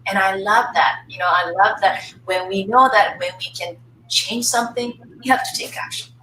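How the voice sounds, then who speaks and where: A woman's voice: mid-range voice, moderate pace, sharp tone. A middle-aged Japanese woman with a Japanese accent, talking casually and personally as a webinar co-host in non-native English. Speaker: course instructor, co-host. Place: indoor room, video call. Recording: roomy, distant mic with background noise.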